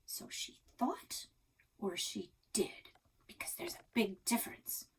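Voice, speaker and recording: A woman's voice: mid-range voice, lively intonation, takes it slowly, husky, in a whisper, exaggerated voice. A woman voicing a persistent, inquisitive child in a storybook, earnest and probing. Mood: probing, skeptical.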